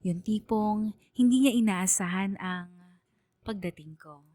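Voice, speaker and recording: A woman's voice: medium pitch, measured pace, lightly acted. A woman playing a hurt, sentimental young letter writer, narrating earnestly and plaintively in a radio drama. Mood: hurt, wistful.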